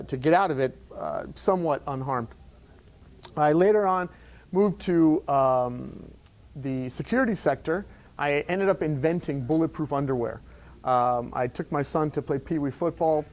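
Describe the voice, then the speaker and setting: Chatty middle-aged man at a live event. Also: a little high. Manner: loose and conversational, many fillers and restarts. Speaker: entrepreneur giving a talk. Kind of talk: live talk to an audience. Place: event room before an audience.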